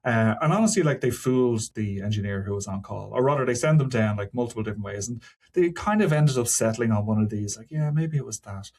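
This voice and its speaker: A man's voice: medium pitch, expressive, quick pace, darkish tone. Man in casual, discursive tech talk. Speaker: podcast guest, senior software engineer. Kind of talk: casual technical interview talk.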